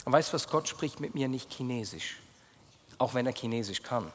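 A man with a Swiss German accent preaching a sermon as pastor, speaking earnestly and directly to his listeners, dropping low and quiet as he prays. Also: normal speed, husky. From Switzerland. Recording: long hall reverb.

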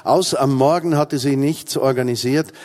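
A man's voice: medium pitch, normal speed, a little mellow. Swiss man preaching with wry humor. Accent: Swiss German. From Switzerland. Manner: humorous, self-mocking preaching with emphatic repetition. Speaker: pastor. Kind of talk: sermon.

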